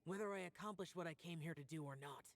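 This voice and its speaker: A man playing a stern, self-sacrificing young warrior in a video game, speaking in a solemn, measured way with a husky, breathy voice. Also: a little high, lightly acted. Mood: grave warning.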